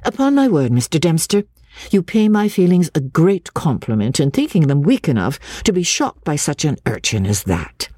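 Brisk young gentlewoman performed by a narrator, imperious and scornful, raised pitch, swooping delivery, storybook dialogue. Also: very animated, no echo, clean studio sound. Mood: sharp, scornful. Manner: emphatic, scornful questioning. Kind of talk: audiobook character dialogue.

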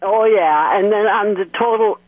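A woman's voice: mid-range voice, moderate pace, no echo. A woman calling in to a radio show, talking enthusiastically about singing along to music. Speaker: caller. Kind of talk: phone-in chat. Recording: muffled phone line.

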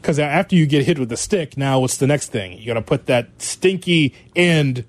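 A man's voice: medium pitch, moderate pace, no echo. A man hosting a sports radio show, joking, laughing often and prompting his co-hosts in casual banter.

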